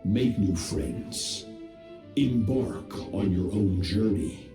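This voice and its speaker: An actor playing a cold, menacing ancient master of the abyss, speaking slowly and gravely. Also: male voice, sits low, expressive, warm tone, full character voice. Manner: slow, grave, threatening delivery. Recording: echoey game audio with music.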